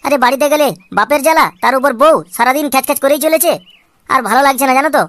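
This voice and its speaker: A woman's voice: high-pitched, rapid-fire, fairly bright tone, no room sound. A woman voicing a cheeky, boastful young man full of schemes in a comic cartoon, chatty and wheedling. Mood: boastful, scheming. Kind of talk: comic amateur cartoon dialogue.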